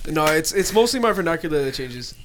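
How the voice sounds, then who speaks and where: A man's voice: on the high side, sing-song, brisk, a bit bright. A man co-hosting a casual chat, blunt and opinionated and swearing freely.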